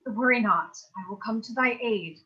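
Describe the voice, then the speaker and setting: A woman's voice: on the high side, normal speed, muffled recording, natural voice. A woman acting an earnest, protective teenage babysitter, speaking reassuring, formal mock-Shakespearean dialogue in a staged reading. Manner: formal archaic diction, reassuring.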